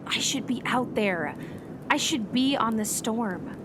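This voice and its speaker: An actress playing an earnest, troubled meteorologist in a naturalistic radio play, speaking in a hesitant, reflective way. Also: female voice, a little high, animated, slow, noisy background. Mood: torn, anxious. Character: earnest, troubled woman meteorologist and storm chaser.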